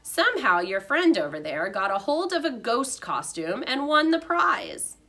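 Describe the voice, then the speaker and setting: A woman voicing a brisk, reserved female schoolteacher in a storybook, with a measured, dry manner. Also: on the high side, very animated, pushed and bright, pitched above the performer's own voice, heavily acted.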